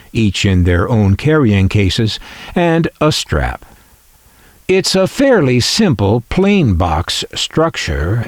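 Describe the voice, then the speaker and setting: A man narrates at a slow, deliberate pace, with a slightly low voice that rises and falls freely. He explains things like a collector showing off a piece, musing wryly as he goes. The sound is dry and close. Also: no room sound. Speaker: collector presenting a vintage radio.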